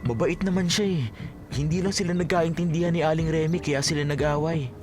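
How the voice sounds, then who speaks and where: A man's voice: on the high side, steady tone, fairly quick, noisy background, natural voice. Earnest young Filipino man played by an actor. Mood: earnest, troubled. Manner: earnest, dramatic. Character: Abe, earnest, devoted, worried young son. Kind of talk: Filipino radio drama acting.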